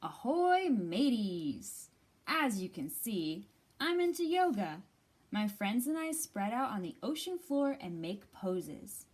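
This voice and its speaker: A woman's voice: mid-range voice, very animated, slow pace, a little mellow, breathy edge, heavily acted. A woman reading as a jaunty, playful, yoga-loving lobster with a nautical streak in a picture book.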